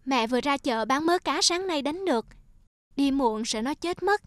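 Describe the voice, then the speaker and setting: A woman's voice: sits high, animated, moderate pace, no room sound. A woman playing a hardworking village mother talking to her young daughter in a cartoon. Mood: gentle. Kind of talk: cartoon dialogue.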